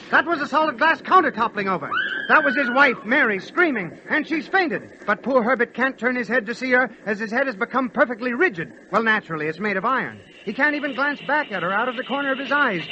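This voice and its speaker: A dramatic, wry storyteller narrates in a very high-pitched voice, in 1940s radio comedy style. The old recording is dull and clearly noisy. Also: male voice, expressive, fast talker. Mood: urgent, wry.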